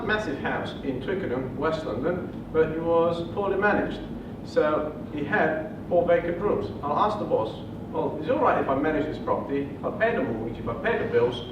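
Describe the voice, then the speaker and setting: Bulgarian-accented man, echoey function room. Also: a little high. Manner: earnest storytelling with hesitant fillers. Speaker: property investor presenter. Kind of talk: seminar presentation. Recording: muffled, distant, echoey room with noise.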